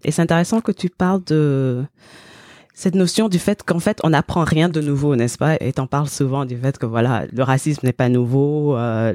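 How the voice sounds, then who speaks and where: Male podcast host, mid-pitched, engaged interviewer, dry close sound. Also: a little mellow, no room sound. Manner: engaged, checks in often with "n'est-ce pas". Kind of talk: interview questions.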